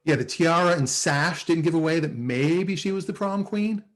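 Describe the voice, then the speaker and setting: A man's voice: on the high side, animated, moderate pace, lightly acted. A man playing a nervous, lovesick, dryly sarcastic office worker in a sitcom table read, with a hesitant, stammering delivery. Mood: exasperated. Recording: video call table read.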